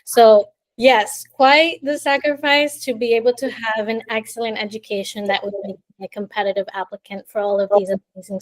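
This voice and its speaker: Female guest, late twenties, from Colombia, slightly high-pitched, warm and chatty. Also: rough recording. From Colombia, raised in Miami. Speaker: interview guest. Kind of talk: casual storytelling in an interview.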